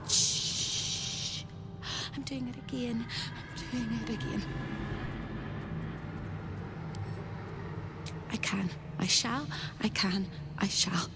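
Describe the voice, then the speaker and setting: A woman's voice: fairly high pitch, very noisy, exaggerated voice. A woman voicing an unhinged, whimsical captive apparition in a video game, with a manic, sing-song, theatrical manner. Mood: frantic. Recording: loud game music behind.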